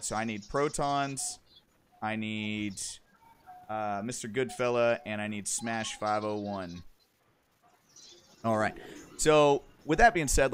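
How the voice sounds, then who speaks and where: A man hosts a gaming livestream, upbeat and directive as he calls players in. His voice sits at an ordinary pitch, with a faint noise under the mic. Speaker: stream host. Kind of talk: livestream hosting and interviewing.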